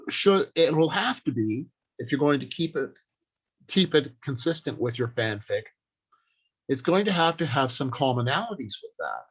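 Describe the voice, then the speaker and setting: A man giving an instructional talk as a workshop speaker, deliberate and emphatic, speaking in short declarative phrases with pauses. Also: on the high side, husky.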